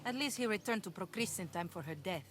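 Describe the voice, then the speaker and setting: A woman playing a weary, sardonic female islander in a video game, with an expressive delivery. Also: mid-range voice, fast talker, over music, lightly acted. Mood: wry. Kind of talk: video game dialogue acting.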